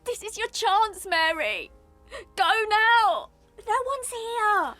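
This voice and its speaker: Shrill, gasping young mother in labour. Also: very animated, slow pace, sharp tone, soft background music, heavily acted. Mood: pained, pleading. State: gasping, crying in pain. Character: distressed young eighteenth-century woman in labour. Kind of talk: period audio drama acting.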